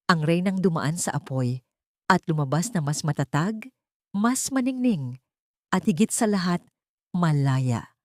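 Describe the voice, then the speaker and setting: A woman reading a scripted dramatic story as narrator, in a measured, solemn manner. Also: fairly low pitch, darkish tone, dry recording, clean studio sound.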